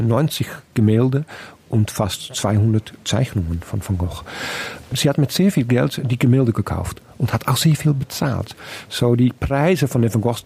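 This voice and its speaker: A man's voice: mid-range voice, vocal fry, a little mellow, breathy edge. A man with a Dutch accent, a native Dutch speaker, giving interview statements as a museum director.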